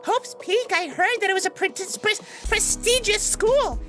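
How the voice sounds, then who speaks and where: A man's voice: extremely high pitch, unhurried, bright, forceful tone, faint music behind, voice pushed up, full character voice. A man voicing a nervous student in a video game, stammering as he talks. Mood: uneasy.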